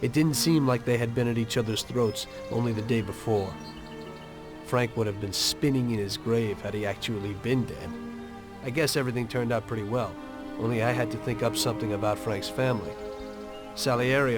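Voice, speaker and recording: An actor playing a conscientious, streetwise American mobster in a video game, plain-spoken and wry, with a weary air. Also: male voice, medium pitch, steady tone, moderate pace, music in the background, natural voice. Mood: matter-of-fact. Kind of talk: dramatic video game dialogue and confessional narration.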